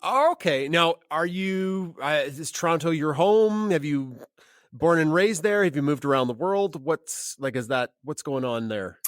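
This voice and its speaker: A woman's voice: deep voice, dry recording, studio quality. Upbeat podcast host woman. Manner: upbeat, quick follow-up questions. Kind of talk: casual interview and plugs.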